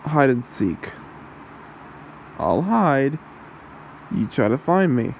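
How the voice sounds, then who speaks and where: A man voicing a possessive, taunting demon husband in an ASMR roleplay, with a mocking manner and a gravelly voice. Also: mid-range voice, sing-song, slow, slight background noise, exaggerated voice.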